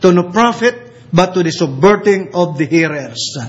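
A man with a Filipino accent, a native Ilocano speaker, preaching a sermon in English. He talks haltingly with many filler pauses and slows down when he reads scripture. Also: high voice, expressive, slight background noise. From Philippines. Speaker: visiting preacher. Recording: dull lo-fi recording with faint ambience.

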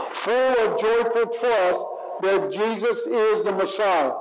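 Slow, high-voiced male preacher. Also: poor audio. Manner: slow, deliberate preaching, stretching key words for emphasis. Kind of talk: sermon.

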